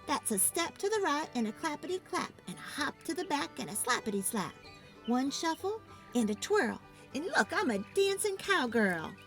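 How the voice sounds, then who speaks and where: A woman's voice: sits high, sing-song, normal speed, a bit bright, soft background music, voice pushed up, full character voice. A woman voicing a brash, boastful schoolgirl cowgirl with folksy Wild West talk in a children's storybook. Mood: exuberant, showy.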